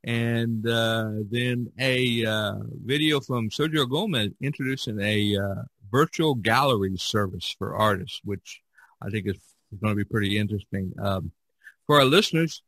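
Husky American man hosting a podcast. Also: fairly low pitch, fairly bright tone, no room sound, low-quality recording. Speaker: podcast host.

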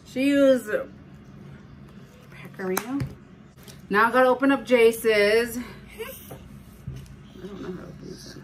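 A woman in her thirties chatting casually as she cooks at home and films on her phone, filling pauses with fillers and little apologies. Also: fairly high pitch, a bit bright, slight background noise. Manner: chatty, self-narrating, filler-heavy, apologetic. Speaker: home cooking vlogger. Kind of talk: casual cook-along chat. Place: home kitchen, indoors.

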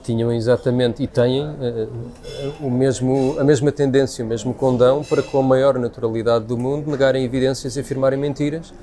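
A man's voice: medium pitch, steady tone, fairly quick, dark tone. A man in his forties with a European Portuguese accent, speaking as an interview guest in a busy café and thinking aloud with frequent restarts. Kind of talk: casual conversational interview. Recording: café crowd noise behind. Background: café crowd chatter.

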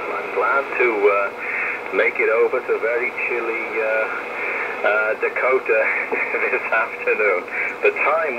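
A man chatting as an amateur radio operator over shortwave, polite and halting, repeating words as he goes. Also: mid-range voice. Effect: shortwave radio transmission. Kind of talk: casual over-the-air radio chat. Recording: dull, very noisy shortwave radio reception.

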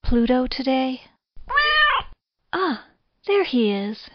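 An actress playing a playful, pet-loving young wife with teasing delivery in an audio drama. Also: female voice, sits high, expressive, slow, no room sound. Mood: playful, affectionate. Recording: dull, lo-fi recording.

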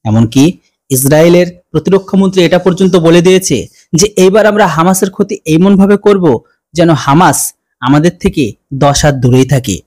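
Young man, higher-pitched voice. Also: expressive, fairly quick, a little mellow. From India. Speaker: reaction video host. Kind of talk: scripted-style news commentary for online video.

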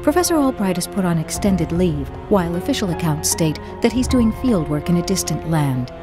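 Lady voicing a polite formal game assistant, lowish for a woman, music clearly behind. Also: animated, fairly quick, lightly acted. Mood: poised, suggesting. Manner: formal, deferential. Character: poised, deferential female academy assistant. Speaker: headmaster's assistant. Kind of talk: video game voice acting.

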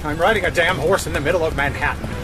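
An actor playing a worried, sarcastic, reluctant adventurer in an audio drama. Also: male voice, fairly high pitch, quick pace, over music, natural voice. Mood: anxious, exasperated. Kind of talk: full-cast audio drama acting.

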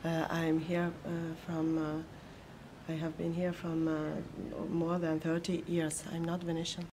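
A woman from France searches for her words in halting English during a street interview. Her voice is very low, flat and mellow, with faint street ambience behind. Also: a little hiss or hum. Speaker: interviewee, longtime resident. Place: narrow street outdoors.

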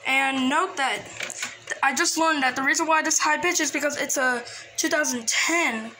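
A woman casually showing off a hobby collection, halting and trailing off, recorded on a handheld phone indoors. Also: sits high. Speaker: video host showing a personal radio collection. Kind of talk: casual hobby show-and-tell. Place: small cluttered room indoors. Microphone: handheld phone camera. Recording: clicky, noisy handheld home recording.

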